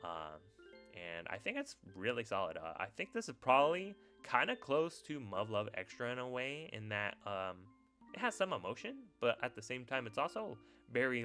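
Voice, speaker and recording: Man, lower pitch, crisp bright tone, casual reviewer, unscripted, rambling, hesitant, faint background music. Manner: rambling, hesitant, thinking aloud. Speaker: YouTuber reviewing visual novels.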